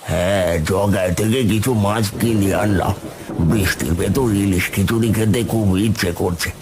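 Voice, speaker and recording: A man's voice: lowish voice, steady tone, normal speed, noisy background, close to an everyday voice. A man voicing a friendly older village uncle in a cartoon, familiar and advisory in manner. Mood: friendly, cautioning. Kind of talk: cartoon voice acting.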